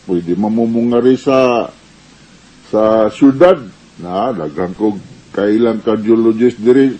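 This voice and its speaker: Mellow, slow-talking Filipino man. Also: mid-range voice, slightly rough, poor audio. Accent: Filipino, Cebuano Bisaya. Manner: conversational, code-switches into English medical terms. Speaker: doctor giving health advice. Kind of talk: informal medical advice talk answering listener questions.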